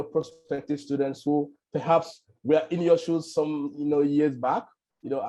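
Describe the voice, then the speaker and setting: A man moderating an online panel over a video call, relaxed and leaning on "you know" as he talks. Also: medium pitch, rough recording. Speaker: webinar moderator.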